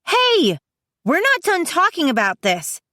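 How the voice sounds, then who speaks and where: Lady reading as a worked-up college girl, bossy big sister type, emphatic n pleading, high voice, dry audiobook sound. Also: unhurried, no room sound, natural voice. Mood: exasperated, scolding. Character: distraught college-age sister, fiercely protective, emotional and exasperated. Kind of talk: dramatised audiobook dialogue.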